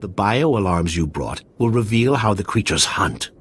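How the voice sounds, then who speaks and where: An actor playing an eccentric, courteous scientist in a video game, formal, precise and theatrical with a dry wit. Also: male voice, on the low side, animated, measured pace, a little hiss or hum. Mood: businesslike.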